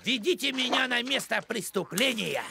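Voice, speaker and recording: A pompous cartoon postman, pitched very high and swooping up and down. He is fussy and officious, talking in bureaucratic phrases with music behind him. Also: expressive, moderate pace, vocal fry, heavily acted. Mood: officious, stern. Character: officious, pompous middle-aged village postman. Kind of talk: cartoon voice acting.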